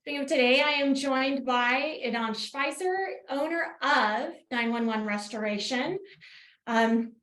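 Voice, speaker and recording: High-voiced woman hosting a business show. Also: a bit bright. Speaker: podcast host. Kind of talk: interview introduction. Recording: roomy echo.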